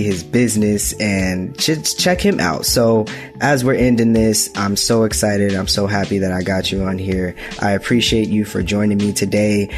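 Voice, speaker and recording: A podcast host with a lowish male voice, full of affirmations and easy laughter as he talks casually. Faint guitar music sits under his voice. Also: a little mellow. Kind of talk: casual interview talk.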